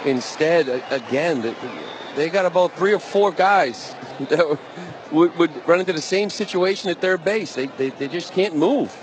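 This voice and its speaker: Male color analyst for baseball, slightly high voice, emphatic conversational delivery with repeated words, faint ballpark noise. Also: expressive, normal speed. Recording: broadcast with faint stadium noise.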